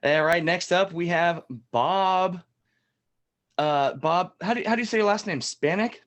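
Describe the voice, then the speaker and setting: A man plays the host of a small-town public access game show, with a lightish, slightly high voice. His patter is polite and a bit halting, with dry little asides. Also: fairly bright tone. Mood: chatty, dry. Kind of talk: improvised game show parody.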